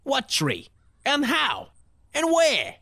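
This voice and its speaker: A man's voice: very high-pitched, huge pitch swings, takes it slowly, vocal fry, sharp tone, dry recording, heavily acted. A man voicing a sneaky, smirking crook's henchman in a cartoon comic dub, sly and mock-folksy. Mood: eager, sly.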